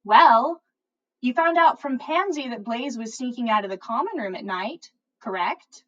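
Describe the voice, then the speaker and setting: A teenage schoolgirl friend from a storybook, read by a woman. She has a fairly high voice and a direct, matter-of-fact, prompting way of talking. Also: lively intonation, moderate pace, fairly bright tone, dull audio.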